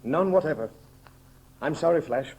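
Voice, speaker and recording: An actor playing a grave, loyal counselor with solemn, formal, declamatory delivery in a 1930s radio serial. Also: male voice, a little high, lively intonation, normal speed, background noise. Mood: grave, insistent. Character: grave loyal counselor, stern yet devoted male friend. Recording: muffled old 1930s radio recording.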